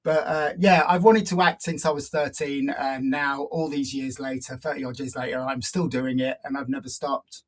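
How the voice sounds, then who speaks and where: A man's voice: medium pitch, flat delivery, normal speed, hardly any pauses. A man in his forties giving reflective, self-deprecating answers as a guest in a conversational interview. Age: 40s. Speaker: guest, actor and filmmaker.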